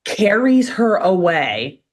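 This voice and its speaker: A young woman co-hosting a casual podcast, retelling a cartoon episode in an animated, joking way. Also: mid-range voice, takes it slowly, poor audio. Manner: animated storyteller, fond of joking asides. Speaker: podcast co-host recapping a cartoon. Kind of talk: casual chat.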